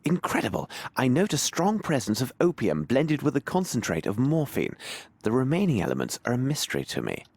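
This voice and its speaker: A man voicing a shrewd, imperious gentleman detective in a video game, with a British accent and a precise, formal, theatrical delivery. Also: medium pitch, wildly swooping pitch, brisk. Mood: analytical, intrigued. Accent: British English. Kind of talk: dramatic video game voice acting.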